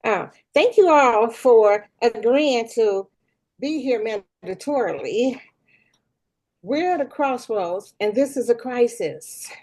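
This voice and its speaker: A self-important woman principal, played by an actress in a sitcom read, with a fairly high voice that moves around a lot. She is breezy and petty, putting on a show of being reasonable. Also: animated, slow, darkish tone. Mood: pompous. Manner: breezy, pompous, mock-reasonable. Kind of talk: casual table read of a sitcom script.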